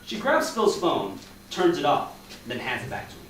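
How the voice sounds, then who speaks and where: A brisk male narrator of a comic stage play, high and animated in pitch. He reads stage directions from a distance in a very echoey hall. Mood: narrating. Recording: echoey hall from far off, faint noise.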